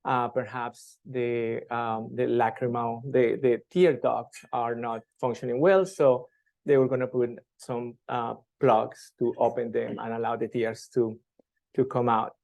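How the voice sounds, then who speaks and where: Halting, gravelly-voiced Colombian man. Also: medium pitch, fairly even intonation, darkish tone, dull audio. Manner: halting, many fillers and restarts. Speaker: patient advocate. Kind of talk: live panel Q and A. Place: event room with audience.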